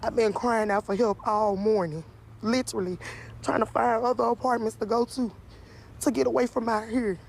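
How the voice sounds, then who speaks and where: A woman's voice: on the high side, steady tone, normal speed, gravelly, a little hiss or hum. A woman in her twenties speaking plaintively in a street news interview as a resident. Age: 20s.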